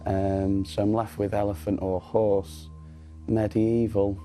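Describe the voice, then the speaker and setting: A man's voice: lowish voice, level tone, slow pace, warm tone, faint music behind. A man in his thirties, a quiz contestant thinking aloud hesitantly through his answer. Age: 30s.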